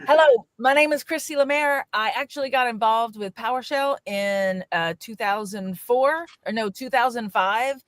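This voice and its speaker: A woman's voice: a little high, moderate pace, few pauses, dry recording. Woman with a Louisiana accent, dry sound. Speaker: panel guest. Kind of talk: self-introduction on video call. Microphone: video call.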